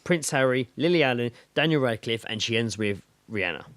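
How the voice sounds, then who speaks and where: A man's voice: mid-range voice, animated, brisk, a bit bright, no echo. A British man co-hosting a podcast, chatting casually and matily with plenty of swearing. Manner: sweary, matey. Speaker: podcast co-host. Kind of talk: casual banter between friends.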